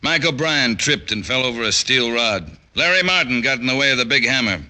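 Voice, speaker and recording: Pushy self-made factory boss, very hard-edged, forceful mid-range voice, blustering old radio tough talk. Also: male voice, medium pitch, lively intonation, a little fast. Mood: aggrieved, forceful. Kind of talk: mid-century radio drama acting.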